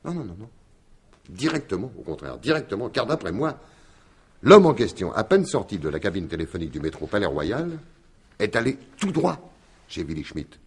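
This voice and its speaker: Shrewd detective, husky, very animated intonation, emphatic, theatrical, 1950s-style French radio mystery. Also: male voice, fairly low pitch, heavily acted. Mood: insistent, certain. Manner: emphatic, theatrical, deliberate explaining with repeated insistence. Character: shrewd, insistent male detective laying out his theory.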